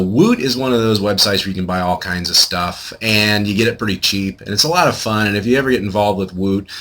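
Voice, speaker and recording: Low-voiced American man, bright and chatty. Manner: chatty, self-deprecating, emphatic. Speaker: home video blogger. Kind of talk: casual product review.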